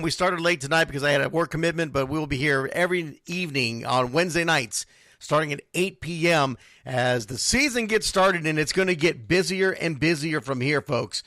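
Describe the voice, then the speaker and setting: A man's voice: a little high, sharp tone, no echo, studio quality. A man hosting a casual radio show, talking informally and animatedly. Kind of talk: casual live sports radio talk with read sponsor spots.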